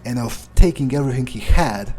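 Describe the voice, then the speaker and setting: A man role-playing an arrogant, disdainful vampire in a gameplay video, musing in a husky voice with halting, foul-mouthed phrasing. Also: mid-range voice, expressive, dark tone, lightly acted, low-quality recording. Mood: smug, musing. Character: arrogant, contemptuous male vampire musing to himself. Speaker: gamer role-playing in character. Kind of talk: in-character gameplay monologue, improvised. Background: music.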